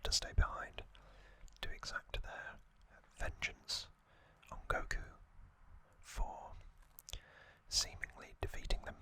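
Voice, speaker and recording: An ASMR host speaking in a soft, breathy, mostly unvoiced whisper, gently recapping in short phrases with lingering pauses, close to the microphone. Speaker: host of a whispered trivia channel.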